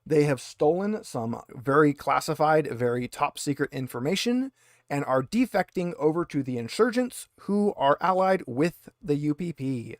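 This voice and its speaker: A man's voice: on the high side, expressive, moderate pace, no room sound, studio quality. A man running a tabletop roleplaying game, narrating conversationally with wry asides. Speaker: game master.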